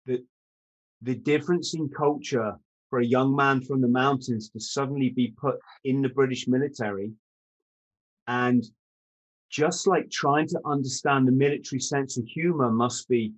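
A man's voice: mid-range voice, fairly even intonation, normal speed, poor audio. A man in his fifties hosting a podcast over a video call, asking long storytelling questions. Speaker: podcast host. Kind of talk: casual interview chat. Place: room at home.

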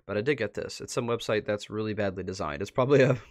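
Low-pitched man streaming casually. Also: fast talker. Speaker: livestream host. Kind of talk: casual livestream commentary, reading posts aloud. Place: indoors at a computer.

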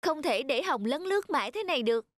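A woman playing a proud, competitive schoolgirl in an animated cartoon. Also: high voice, fast, no echo. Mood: determined.

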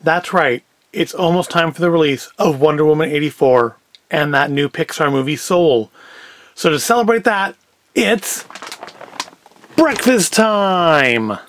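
A man's voice: on the high side, unhurried, fairly bright tone. A middle-aged man giving a casual, unscripted product review as host, with wry, sarcastic asides. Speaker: host of a food review show.

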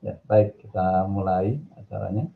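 Tinny call audio, low gravelly Javanese-accented man. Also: fairly even intonation, normal speed. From Indonesia. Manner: conversational, mixes in English phrases. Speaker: guest lecturer, academic. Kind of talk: informal online lecture. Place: indoor office on a video call.

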